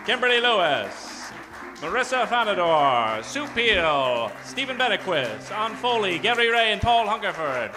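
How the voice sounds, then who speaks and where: A man's voice: high voice, poor audio. A man narrating and announcing an improvised old-time radio comedy in a theatrical, dramatic way.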